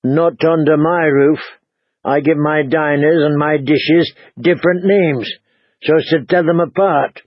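A man's voice: fairly high pitch, steady tone, a little slow, slightly rough, dry recording, lo-fi sound. A man voicing a stern, bossy middle-aged woman who runs an inn, talking in a blunt, commanding way.

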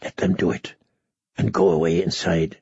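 A man voicing a proud, sardonic, wounded knight in an audiobook, speaking in hushed, dry tones. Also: lively intonation, moderate pace, vocal fry, slightly rough, no echo, muffled recording. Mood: urgent, hushed. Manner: low whispering, sardonic.

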